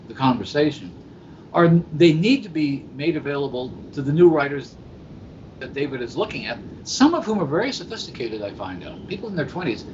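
A man co-hosts a conversational discussion, putting long, winding points and questions to guests. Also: mid-range voice. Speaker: podcast co-host. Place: live convention recording in a hotel. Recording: dull lo-fi sound, faint background noise.

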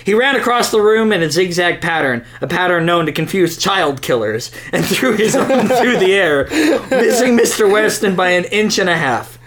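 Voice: mid-range voice, animated, moderate pace, hardly any pauses, a bit bright. A podcast host reading a crude comic story aloud in a theatrical, amused way. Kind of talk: dramatic comic reading of narration.

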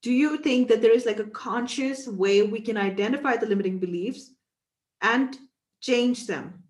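A woman's voice: a little high, normal speed, a little mellow, reverberant. A woman hosting a podcast interview, summarizing her guest's points and asking structured questions. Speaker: podcast host.

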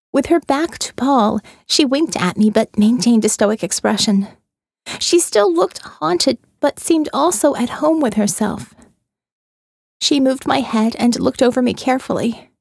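A woman narrating a first-person audio novel in a measured, intimate way. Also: fairly high pitch, creaky, dry recording, clean studio sound.

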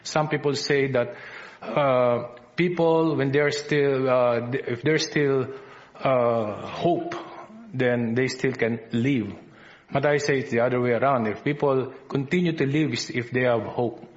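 A man with a Filipino accent preaching a sermon as a visiting minister, halting often with fillers and restarts. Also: medium pitch, lo-fi sound. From Philippines. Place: church service.

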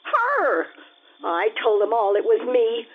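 An actress playing a vain, coquettish, melodramatic young stage performer in a 1950s-style radio drama. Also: female voice, on the high side, wildly swooping pitch, takes it slowly, full character voice. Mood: pouting. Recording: tinny narrow radio-style recording.

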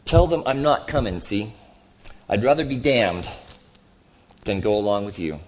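A man's voice: fairly low pitch, expressive, measured pace, slight background noise. A man voicing a sulky, belligerent ghost of a working-class boss in a storybook reading, blustering and self-righteous. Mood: bitter, defiant.

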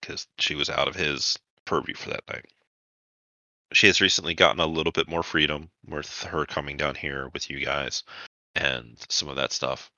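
A man with an extremely low voice and a hard, very bright edge runs a tabletop roleplaying game. He phrases his narration deliberately, pausing between thoughts, and the sound is close and dry. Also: steady tone, no echo. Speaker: game master.

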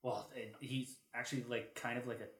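Man, medium pitch, podcast co-host, halting explanations, casual discussion, echoey room. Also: flat delivery, vocal fry, fairly bright tone. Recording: roomy, echoey sound.

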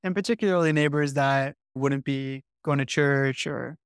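A man from Canada, a podcast guest talking in a relaxed, chatty way and leaning on "like". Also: mid-range voice, moderate pace, clear, resonant tone, no echo, studio quality. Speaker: podcast guest, community worker. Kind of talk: casual interview talk.

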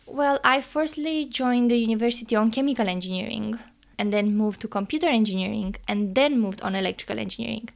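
A woman's voice: fairly high pitch, a little fast, gravelly. A young woman with a Romanian accent answers interview questions in an earnest, thoughtful way. Her phrasing is sometimes non-native, and she laughs easily between points. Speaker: podcast guest, engineering student.

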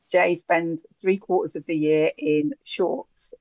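A woman co-hosting a podcast in casual chat, talking warmly with frequent ums and little laughs. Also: on the low side, lively intonation, slow, slightly rough, no room sound, highs cut off. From England. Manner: chatty, giggly, hesitant with many ums. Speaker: podcast co-host.

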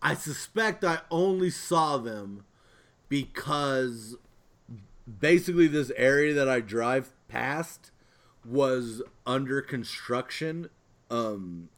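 Slow-paced man in casual podcast chat. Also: medium pitch, expressive, a bit bright. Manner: jokey, rambling storytelling. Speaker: podcast co-host.

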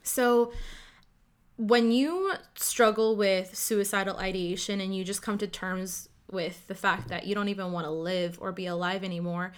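A woman's voice: medium pitch, steady tone, normal speed, clean tone. Candid woman telling her story. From Ottawa, Canada. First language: Cantonese. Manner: candid, conversational, frequent "like" fillers. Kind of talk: personal storytelling, casual.

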